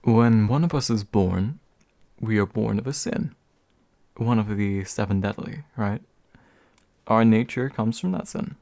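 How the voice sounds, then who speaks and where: A young man acting as a courteous, gentle demon of envy in a soft-spoken ASMR roleplay, formal and soothing with occasional hesitation. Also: lowish voice, a little slow, vocal fry, no echo. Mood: persuasive. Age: young adult. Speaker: voice actor.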